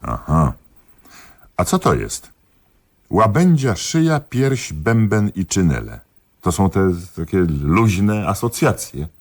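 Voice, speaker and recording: Very deep, husky, sceptical police investigator. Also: male voice, very animated, a little mellow, heavily acted. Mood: sceptical, probing. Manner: questioning, dry, reads verse aloud slowly. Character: dogged male police investigator, sceptical, puzzled, politely exasperated. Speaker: actor in a radio play. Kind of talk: theatrical radio comedy dialogue.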